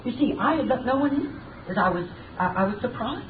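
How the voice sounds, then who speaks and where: A woman's voice: a little high, lively intonation, fairly quick, phone-quality sound, lightly acted. A woman acting a nervous, respectful housekeeper who speaks hesitantly in a 1930s radio drama. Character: deferential, nervous female housekeeper. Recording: distant, echoey, scratchy 1930s radio.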